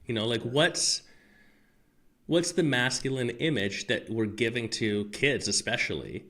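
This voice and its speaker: A man talking casually on a podcast, animated and rambling, with restarts and the odd swear. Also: mid-range voice, unhurried. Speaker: podcast guest. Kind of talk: casual conversation.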